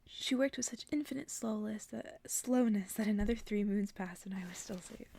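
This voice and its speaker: A woman reading a story aloud as ASMR in an intimate, hushed whisper. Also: medium pitch, normal speed, creaky. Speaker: ASMR reader.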